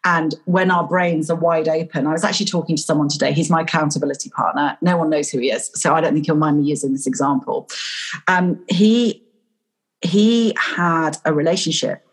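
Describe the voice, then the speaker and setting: A woman from Yorkshire, a coach and guest, talking in an animated storytelling way in a casual interview. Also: low voice, fairly quick, slightly rough, low-quality recording. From Yorkshire, England.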